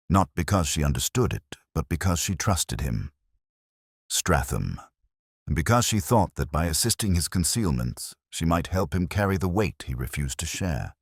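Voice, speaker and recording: Really low guy reading a detective mystery, measured and literary, voice moves around a lot. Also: dry recording, clean studio sound. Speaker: narrator. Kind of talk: scripted first-person detective story narration.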